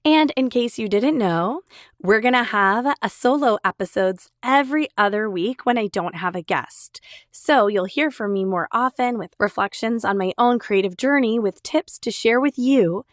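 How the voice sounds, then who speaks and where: Upbeat woman, raspy with a cold. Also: a little high, expressive, normal speed, no echo, clean studio sound. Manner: upbeat, chatty, enthusiastic. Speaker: podcast host. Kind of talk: solo podcast monologue.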